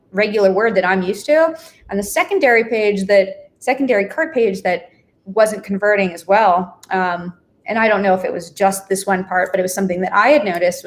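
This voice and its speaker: A woman, a guest on a live stream, explaining her points in a chatty way, often adding "you know" and "right?". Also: medium pitch. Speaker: affiliate manager guest.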